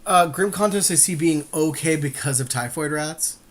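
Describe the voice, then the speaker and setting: A man's voice: a little high, moderate pace, a bit bright. A man co-hosting a podcast, chatting casually and agreeably about card picks in a game draft. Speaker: podcast co-host.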